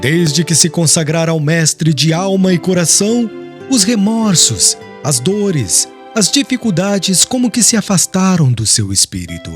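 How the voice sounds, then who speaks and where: A man reading an audiobook in measured, solemn scripted narration. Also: fairly high pitch, very animated, soft background music.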